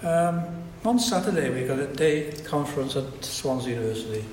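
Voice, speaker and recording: A man's voice: mid-range voice, animated, moderate pace, noisy background. An older man with a Welsh accent, a native Welsh speaker, giving a reflective public lecture with frequent restarts. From Wales. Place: lecture hall at a society event. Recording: echoey hall recording.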